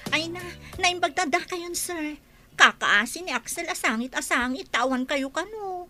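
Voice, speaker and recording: A woman's voice: very high voice, animated, moderate pace, pushed and bright, faint music behind, heavily acted. A woman playing a bossy scolding housekeeper. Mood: snide. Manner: scolding, sarcastic. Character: sharp-tongued bossy ranch housekeeper. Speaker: nanny and housekeeper.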